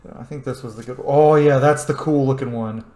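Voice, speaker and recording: A man's voice: medium pitch, fairly even intonation, fairly quick, a little mellow. A man giving casual, sweary gameplay commentary, often muttering to himself as he plays. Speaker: gamer hosting a playthrough. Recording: echoey room, faint noise.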